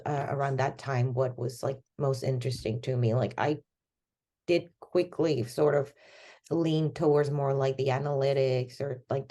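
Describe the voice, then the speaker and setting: A woman's voice: low-pitched, warm tone. A woman in her thirties from Venezuela, a podcast guest talking casually about her career, full of fillers. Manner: rambling, many fillers like "like" and "you know". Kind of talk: casual conversational interview.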